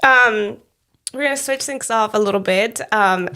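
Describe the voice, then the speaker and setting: A woman's voice: mid-range voice, moderate pace, bright, forceful tone. A woman hosting a casual interview, a native Spanish speaker who chats freely and laughs often. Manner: chatty, laughs often, many "like"s. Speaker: podcast host.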